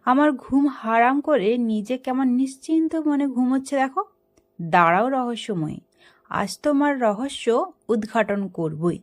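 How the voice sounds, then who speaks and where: A woman's voice: on the high side, expressive, a little slow. A woman reading a scripted story aloud as its narrator, in a dramatic storytelling style.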